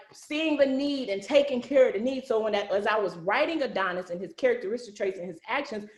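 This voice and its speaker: A woman hosting an informal group chat on a video call, telling stories casually and often checking in with listeners. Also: medium pitch. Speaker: host and series writer. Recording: roomy echo over video call.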